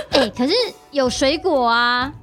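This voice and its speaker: A woman's voice: high-pitched, slow pace, fairly bright tone, faint music behind. A woman chatting casually and livelily as a podcast guest.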